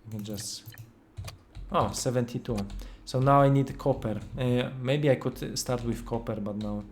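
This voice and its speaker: Casting note: man with a Polish accent, mid-pitched, unhurried game-stream commentary. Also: a little hiss or hum. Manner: slow, hesitant, many fillers, thinking aloud. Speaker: game developer streaming gameplay. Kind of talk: casual gameplay commentary.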